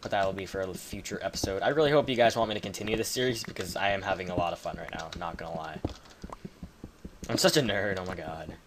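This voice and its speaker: Man, Canadian accent, deep-ish voice, rambling let's-play chatter, casual swearing. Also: fast talker, background noise. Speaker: gaming video creator. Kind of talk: casual gameplay commentary.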